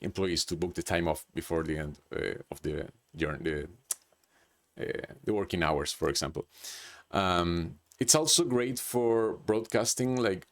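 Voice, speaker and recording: Hesitant man with a Chilean accent. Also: deep voice. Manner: hesitant, with many restarts and "like". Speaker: presenter. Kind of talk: unscripted business presentation.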